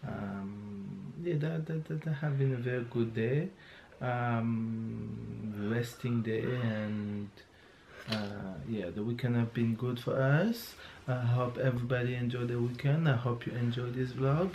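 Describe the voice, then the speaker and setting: A man speaking slow, halting, as he wraps up a family vlog, repeating himself. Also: medium pitch, fairly even intonation, gravelly, darkish tone, slight background noise. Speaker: vlogger, husband. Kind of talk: casual vlog sign-off.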